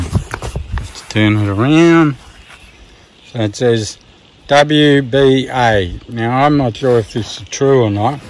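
A man with an Australian accent casually walking through his hiking gear, relaxed and rambling with plenty of ums and restarts, filmed on a phone outdoors. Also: mid-range voice. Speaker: vlogger. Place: outdoors at a campsite by a river.

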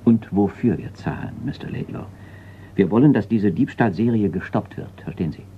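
A man's voice: lowish voice, animated, moderate pace, breathy edge. An actor in a radio crime drama playing a sober, insistent male insurance executive, formal and earnest in manner. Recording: dull, noisy radio play recording.

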